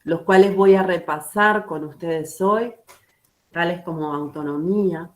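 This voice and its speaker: Uruguayan woman speaking slowly and carefully. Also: on the low side. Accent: Uruguayan Spanish. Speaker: guest teacher. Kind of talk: presentation at an online book launch.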